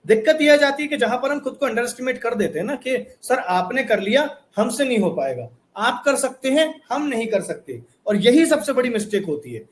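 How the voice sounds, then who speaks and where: A man's voice: extremely high pitch, big pitch swings, a little fast, hardly any pauses. A young man teaching a motivational course lesson, earnest and repetitive, asking his viewers rhetorical questions. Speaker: online course instructor.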